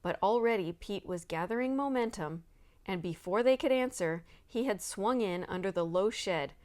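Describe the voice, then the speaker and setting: A woman's voice: medium pitch, animated, moderate pace, darkish tone. A woman narrating a children's novel aloud, acting out the dialogue expressively. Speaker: storybook reader.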